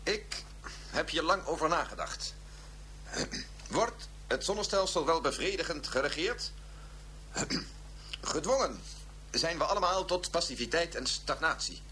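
An actor playing a grave physicist professor making a deliberate, declamatory public statement in a radio drama. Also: male voice, a little high, animated, bright, forceful tone, slight background noise.

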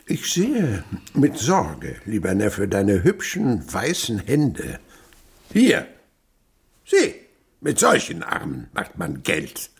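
Gravelly, scornful old miser. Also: male voice, lowish voice, wildly swooping pitch, full character voice. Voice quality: gravelly, husky. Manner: sarcastic, blustering, drawn-out words. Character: stern miserly old father, scornful and commanding. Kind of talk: radio drama acting.

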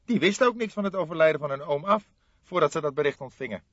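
A man voicing a young, eager detective sidekick in an audiobook, quick, emphatic and inquisitive. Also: fairly high pitch, expressive, fairly bright tone, no echo, highs cut off, voice pushed up. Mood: informative, brisk.

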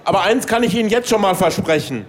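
An actor playing a crude, cocky candidate for chancellor, blunt and slangy, in a satirical radio play. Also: male voice, high-pitched, animated, quick pace. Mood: blunt, unbothered. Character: crude, cocky working-class man running for chancellor.

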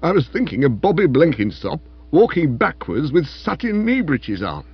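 A meek, henpecked husband in a comic storybook, acted by a male reader. He is mild, dyspeptic and short of words. The voice moves around in pitch on slightly dull audio. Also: big pitch swings, moderate pace, slightly rough, no echo, soft background music, heavily acted.

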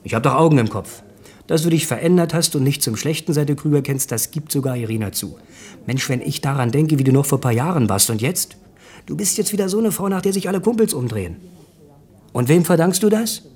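An actor playing a blunt, nostalgic working-class ex-husband in a naturalistic radio play, talking in a colloquial, needling, familiar way. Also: male voice, fairly high pitch, expressive, fairly quick, darkish tone, husky. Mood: admonishing.

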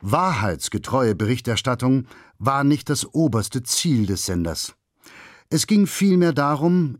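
A man narrates a scripted documentary in a mid-pitched voice. He keeps it factual and formal, recorded in a very dry studio. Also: no room sound.

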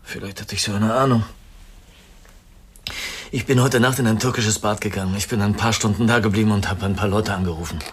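Male actor as fatalistic fugitive gentleman for radio noir: lowish voice, melancholy and resigned, faint vehicle noise behind. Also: fairly even intonation, brisk, long pauses, lightly acted. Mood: guarded, tired. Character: weary, fatalistic male friend on the run. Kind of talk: German radio play acting.

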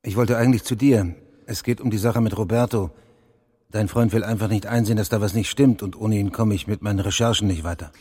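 An actor playing a sly, nervy French snoop in a radio play, muttering tersely. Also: male voice, fairly low pitch, fairly even intonation, a little fast, darkish tone, husky, dry recording, clean studio sound. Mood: earnest, insistent. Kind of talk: radio play dialogue with muttered, hurried asides.

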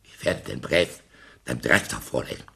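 An actor playing a pompous, irascible professor in a radio comedy, pedantic and halting, blustering when challenged. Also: male voice, rumbling low, lively intonation, gravelly, fairly bright tone, heavily acted. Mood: stern, pedantic. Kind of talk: German radio comedy drama acting.

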